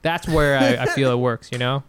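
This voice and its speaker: A man's voice: mid-range voice, fairly even intonation, fairly quick, fairly bright tone, smooth, no echo. A man co-hosting a casual comedy chat, telling stories animatedly and laughing often. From Vietnamese heritage.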